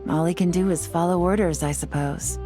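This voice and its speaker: Game role: calculating woman detective played by an actress, deep for a woman, unruffled and persuasive. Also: fairly even intonation, a little fast, over music, natural voice. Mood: coolly pressuring. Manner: composed, sly, persuasive. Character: cool, shrewd, calculating female investigator. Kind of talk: video game voice-over.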